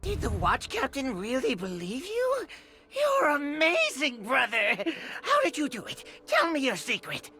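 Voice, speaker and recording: A woman voicing an excitable little goblin in a video game, squeaky and scratchy. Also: a little slow, full character voice. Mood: admiring.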